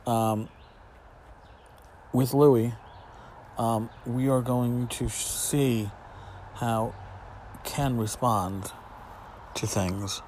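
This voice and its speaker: A man with a fairly low voice gives an off-the-cuff solo podcast commentary. He rambles and sounds exasperated and sarcastic, with a blunt, profane streak. Some faint noise sits in the recording. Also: a little mellow. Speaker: podcast host. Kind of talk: casual solo commentary, reading out emails. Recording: noticeable background noise.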